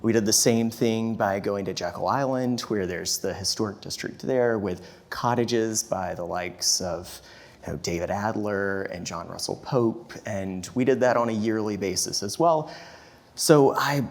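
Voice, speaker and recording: A man from the southern United States, telling on a panel how he came to architecture. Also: on the low side. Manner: steady, storytelling. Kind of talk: panel discussion answer.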